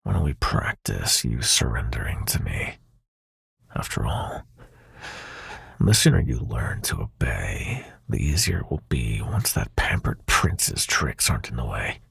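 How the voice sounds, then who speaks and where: A sinister, seductive prince of nightmares murmurs in an extremely deep voice, heavily fried and gravelly. His delivery is slow, breathy and silky, with menacing command. It is an intimate ASMR roleplay performance. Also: sing-song, fairly bright tone, no room sound, clean studio sound, exaggerated voice. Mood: taunting. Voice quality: breathy, gravelly half-whisper.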